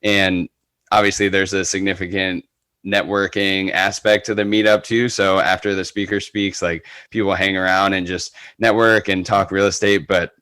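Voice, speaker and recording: A man's voice: low-pitched, flat delivery, moderate pace, bright, forceful tone, clear, resonant tone, dry recording. A man speaking casually as an interview guest on a podcast, earnest and enthusiastic. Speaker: podcast guest, real estate agent.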